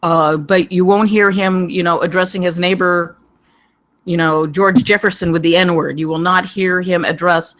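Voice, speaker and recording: A panelist giving digressive, halting spoken feedback on a script, wandering from point to point. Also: mid-range voice, fairly even intonation, fairly bright tone. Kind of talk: rambling spoken critique.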